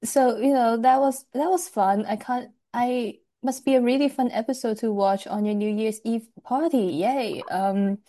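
A woman from Hong Kong talks candidly and with emphasis, laughing easily in casual conversation. Her voice is mellow and warm. The sound is dry, with no room echo. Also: on the high side, unhurried, hardly any pauses. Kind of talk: casual chat.

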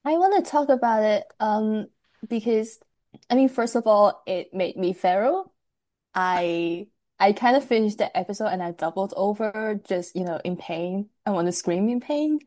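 A woman's voice: medium pitch, expressive, normal speed, mellow, dry recording, studio quality. A woman from Hong Kong talking candidly and emphatically in a casual podcast chat.

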